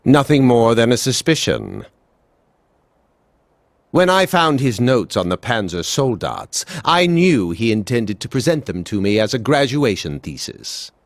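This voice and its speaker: An actor playing a stern elderly scientist in a video game, blunt, deliberate and resolute. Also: male voice, medium pitch, wildly swooping pitch, no room sound. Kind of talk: video game cutscene acting.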